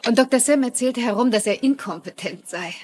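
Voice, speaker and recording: An actress playing an earnest, caring hospital nurse, matter-of-fact and considerate, in a dubbed TV drama. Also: female voice, fairly high pitch, brisk, darkish tone, natural voice. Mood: confiding. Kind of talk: dubbed TV mystery drama acting.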